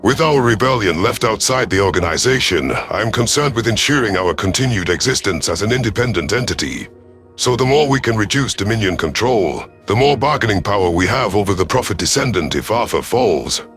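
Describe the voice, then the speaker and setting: A man narrating a sci-fi story in character as a stern, calculating alien commander, formal and deliberate, over music. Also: fairly low pitch, lively intonation, a bit bright.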